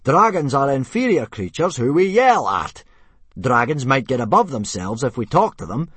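A man voicing a pompous Viking warrior who tries to sound noble, loud and blustering. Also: mid-range voice, very animated, normal speed, slightly rough, no room sound, voice pushed up.

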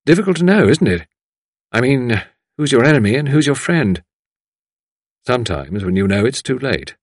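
A guarded, wry master of the house voiced by a man in an audiobook. The delivery is clipped and musing, with animated pitch and a dry, close sound. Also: moderate pace, slightly rough, no room sound. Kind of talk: audiobook character voice.

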